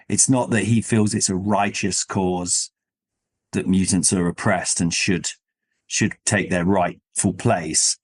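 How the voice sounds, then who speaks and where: A man hosting a casual discussion, thinking aloud in a rambling, self-questioning way. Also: sits low. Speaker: podcast host. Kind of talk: casual comic-book discussion.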